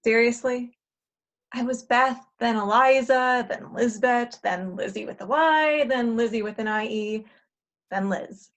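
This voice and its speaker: A woman's voice: a little high, unhurried, a bit bright. A woman playing a jaded, insistent time traveler in a stage comedy, urgent and emphatic with a wry edge. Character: insistent, jaded older self from the future. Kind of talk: stage comedy acting in a table read.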